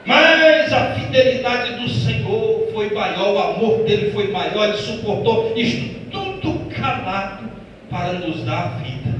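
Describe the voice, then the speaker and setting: A Brazilian Portuguese pastor with a fairly high voice preaches in a church, his voice rolling around a big echoing hall. He is picked up from across the room, with ambient noise underneath. He swells from hushed, quick asides into loud, slow, stretched-out declarations. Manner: preaching with swells from quiet to loud, drawn-out emphatic phrases; addresses listeners as "irmão" and asks them questions. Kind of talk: Pentecostal sermon. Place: church sanctuary. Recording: echoey church hall from a distance.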